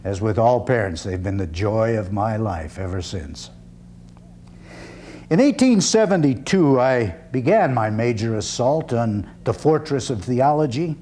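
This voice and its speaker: Guy acting as an old-time freethinker orator, wry and declamatory, voice goes up and down a lot, mid pitch, stage monologue. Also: animated, dark tone, a little hiss or hum, close to an everyday voice. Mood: reminiscing, proud. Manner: declamatory, deliberate phrasing with wry irony. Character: 19th-century freethinking orator, proud veteran and father, wry. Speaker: actor performing a one-man play. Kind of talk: dramatic reading, theatrical oratory.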